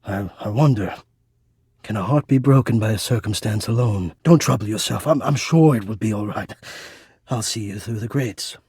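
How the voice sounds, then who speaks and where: Game role: smitten, timid thermostat-like machine, male. Very breathy, lowish voice; formal, halting, intimate, devoted. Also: darkish tone, dry recording, studio quality. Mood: tender, wistful longing. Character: shy, devoted, lovesick male home heating-and-cooling system. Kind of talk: dramatic romantic video game voice acting.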